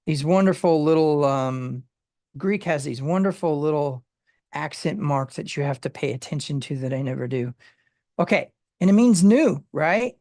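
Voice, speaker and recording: A Bible study teacher who explains word meanings in a halting way, with many fillers and restarts. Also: medium pitch, darkish tone, dry recording, studio quality.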